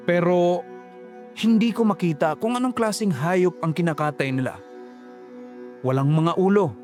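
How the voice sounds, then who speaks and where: A man's voice: sits high, darkish tone. A man narrating a first-person horror story in a tense, dramatic way.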